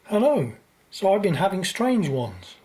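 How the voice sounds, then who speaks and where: Woman playing anxious young man, earnest, radio drama, lively intonation, mid pitch. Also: measured pace. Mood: uneasy. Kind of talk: radio play acting.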